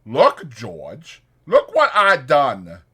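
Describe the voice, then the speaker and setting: A man plays a huge, simple fellow in a dramatized audiobook. He talks in a husky voice with childlike eagerness and rustic, broken grammar. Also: mid-range voice, huge pitch swings, drawn-out words, sharp tone, exaggerated voice. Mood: excited delight.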